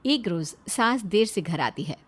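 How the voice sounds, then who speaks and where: A woman's voice: medium pitch, animated, measured pace, a bit bright, breathy edge. A woman reading a scripted narration of a family moral story. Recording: faint background music.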